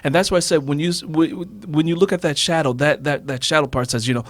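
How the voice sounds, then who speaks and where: A man's voice: fairly high pitch, steady tone, fast, no room sound. A man telling reflective personal stories as a radio guest, emphatic and repeating phrases for effect. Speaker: interview guest, author.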